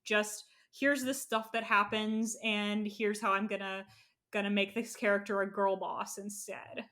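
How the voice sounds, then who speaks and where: A woman's voice: mid-range voice, level tone, measured pace, fairly bright tone. A woman in a casual discussion, sharing opinionated, analytical takes as a podcast co-host.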